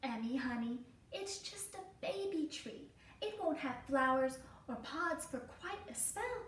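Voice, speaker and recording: Woman as a gentle, high-voiced storybook mother. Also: lively intonation, a little slow. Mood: soothing. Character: patient, reassuring young mother. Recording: roomy, distant mic, faint noise.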